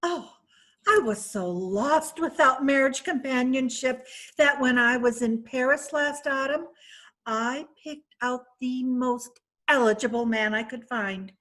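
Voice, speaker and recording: A woman's voice: high voice, a little slow, a bit bright. A woman reading the part of a wealthy, worldly woman proud of her new fiancé, in a chatty, self-satisfied way, for a readers theatre play. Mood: boastful.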